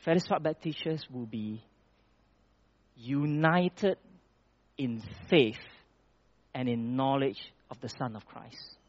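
A man's voice: mid-range voice, takes it slowly, long pauses, muffled recording. A man from Malaysia preaching a sermon, reading scripture with rising emphasis and then talking plainly with small restarts.

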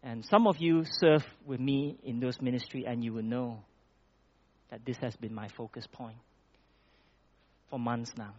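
A man's voice: medium pitch, fairly even intonation, a little slow, pauses often, a little mellow, muffled recording. Man from Malaysia preaching a sermon. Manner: reads scripture with rising emphasis, then talks plainly with restarts.

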